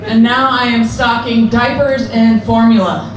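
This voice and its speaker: A young woman performing a crude, self-deprecating stand-up set in a deadpan manner. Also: on the high side, unhurried. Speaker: stand-up comedian. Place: comedy club or bar stage. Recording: echoey room, distant, noisy.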